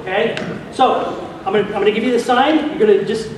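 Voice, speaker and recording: A man's voice: sits high, a little fast. A man presenting to an audience, giving informal live instructions for a recording session. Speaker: presenter directing an audio drama recording. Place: large convention hall. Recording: echoey noisy hall, distant sound. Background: room noise and ambience.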